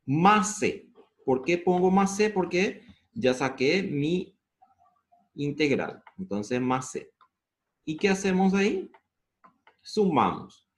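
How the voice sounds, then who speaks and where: A man with a Paraguayan Spanish accent teaching integrals step by step, asking the class questions and answering them himself. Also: medium pitch. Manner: asks the class questions and answers them, slows down over formulas. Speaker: math teacher.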